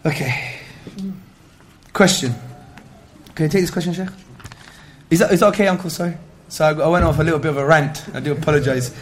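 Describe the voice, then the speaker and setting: A man's voice: a little high, brisk, long pauses, slight background noise. A man giving an Islamic religious talk to an audience, speaking emphatically and rhetorically and peppering his speech with Arabic phrases. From Greek heritage. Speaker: Islamic lecturer.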